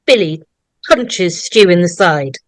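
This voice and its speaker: Female narrator for screenplay directions; medium pitch, unhurried pace, dry close sound. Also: animated, no room sound.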